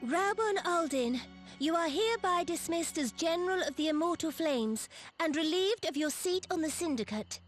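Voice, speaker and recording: A very high, youthful voice as a resolute young sovereign. She speaks with stately, archaic formality, as in a fantasy game cutscene. Music plays underneath. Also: animated, moderate pace. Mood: solemn, commanding. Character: young female sovereign, regal, resolute and earnest. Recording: orchestral music behind the voice.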